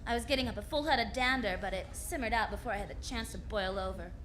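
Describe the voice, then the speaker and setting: Woman playing a high-pitched sassy lady detective. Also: fast, fairly bright tone, slight background noise, lightly acted. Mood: wry. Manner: wry, breezy, slangy. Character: sassy, unflappable female private detective narrating her case. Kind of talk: noir radio drama narration and acting.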